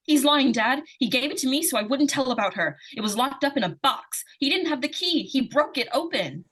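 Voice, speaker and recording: A woman playing an earnest, excitable young daughter in an old-time radio suspense drama. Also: high voice, fairly quick. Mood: insistent.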